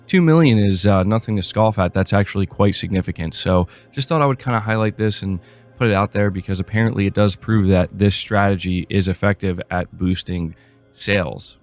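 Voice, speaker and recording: Low-pitched man delivering gaming news. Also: brisk, faint music behind. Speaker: host of a gaming news show. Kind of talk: news commentary, reading reports aloud with casual asides.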